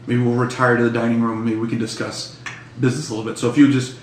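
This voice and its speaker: A man playing a polite, wary criminal in a tabletop game, speaking formally and haltingly as he talks business. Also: mid-range voice, little pitch movement, close to an everyday voice. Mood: wary, deferential. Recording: echoey room, distant mic, faint noise.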